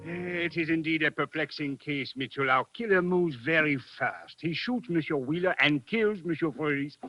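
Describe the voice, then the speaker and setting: An actor playing a courteous, methodical French police inspector with a French accent in a 1940s radio drama, speaking formally and deliberately. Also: male voice, a little high, dry recording, soft background music, natural voice. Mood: measured, puzzled. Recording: dull vintage radio recording.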